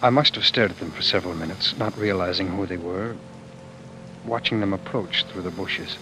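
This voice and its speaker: An actor playing a tender, desperate fugitive husband in old-time radio drama style, halting and stammering over his words. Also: low-pitched, lightly acted. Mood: dazed, grieving. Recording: noisy vintage radio drama broadcast.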